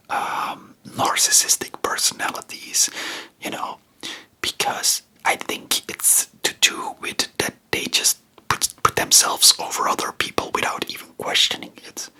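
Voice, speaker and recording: A non-native English speaker whispers in a breathy, very bright and sibilant voice. The delivery is very slow, informal and hesitant, like an ASMR host rambling spontaneously through a history chat. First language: not English. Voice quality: soft, breathy, unvoiced whisper. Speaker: ASMR video creator and host.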